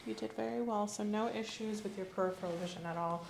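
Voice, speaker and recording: A woman speaking softly and slowly as a clinician in an ASMR roleplay exam, often dropping to a whisper. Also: mid-range voice, fairly even intonation, vocal fry, roomy sound, background noise. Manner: slow, gentle, instructive, often whispering. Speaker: ASMR creator playing an examining clinician. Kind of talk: soft-spoken roleplay physical exam.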